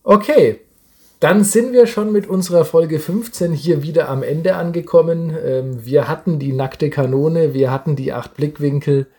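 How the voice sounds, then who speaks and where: A man's voice: a little high, moderate pace, darkish tone. A man hosting a podcast in a casual, chatty style. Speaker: podcast host.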